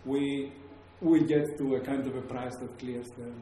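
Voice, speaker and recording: A man with a Greek accent lectures to an audience, pausing often on fillers and restarting his sentences. His voice is mellow and dark. It rings out in a very echoey room over a faint hum of background noise. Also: mid-range voice, level tone. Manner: hesitant, many fillers and restarts. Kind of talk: academic talk to a live audience.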